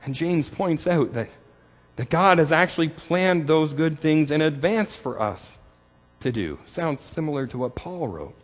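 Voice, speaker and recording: Pastor with a lively storytelling sermon voice. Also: male voice, a little high, a little slow, in a large hall. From Canada. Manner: storytelling with self-deprecating humor, repeats words.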